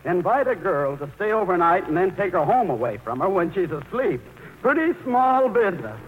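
Aggrieved, indecisive thirties son for vintage radio drama; highish, swooping delivery, halting and brooding. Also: male voice, sing-song. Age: 30s. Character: sulky, indecisive 38-year-old son living at home. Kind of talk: 1940s radio play acting. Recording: tinny, noisy old radio broadcast.

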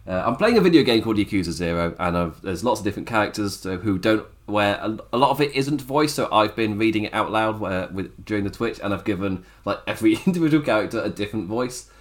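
A man with a strained, raspy voice hosting a casual wrestling review podcast, rambling with frequent chuckles and self-corrections. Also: on the low side, animated, quick pace, hardly any pauses. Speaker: wrestling show host.

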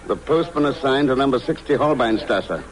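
An actor playing a cool, laconic secret agent in a 1950s radio drama, clipped, dry and unruffled. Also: male voice, medium pitch, animated, fairly quick, natural voice. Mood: brisk, firm. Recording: noisy old radio recording.

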